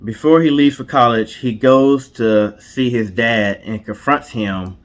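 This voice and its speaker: A man's voice: medium pitch, unhurried. A man co-hosting and retelling a story in a casual storytelling style. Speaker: co-host.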